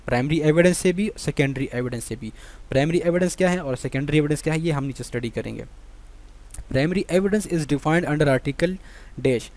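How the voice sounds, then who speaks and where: Man, Pakistani accent, slightly raised pitch, exam-prep lecturer, explanatory, repetitive, rhetorical questions. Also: darkish tone. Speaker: law lecturer.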